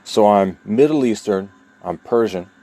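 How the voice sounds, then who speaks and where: A man from New York with a lowish voice talks straight into the camera. He speaks in slow, emphatic phrases, stopping between words to stress them, and presses his points earnestly by repeating them. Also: dark tone. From New York, USA. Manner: deliberate, emphatic, with long pauses between phrases. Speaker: vlogger telling his own story. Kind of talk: personal monologue to camera.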